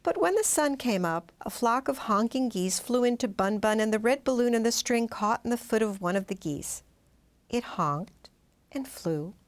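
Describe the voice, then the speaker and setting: Animated woman reading a storybook. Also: mid-range voice. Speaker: storybook reader. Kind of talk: expressive children's read-aloud narration.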